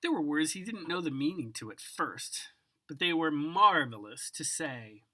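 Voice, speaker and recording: A woman giving an expressive children's picture book read-aloud, savoring words dramatically and speaking directly to the listener. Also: sits low. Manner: savors single words with drawn-out, dramatic delivery and occasional whispers. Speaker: storybook reader.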